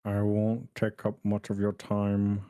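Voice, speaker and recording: A man voicing a stern, formal professor with careful, deliberate enunciation, for a visual novel read-along. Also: lowish voice, monotone, warm tone, clean tone, dry recording, clean studio sound. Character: stern, formal male professor in a droll impression style.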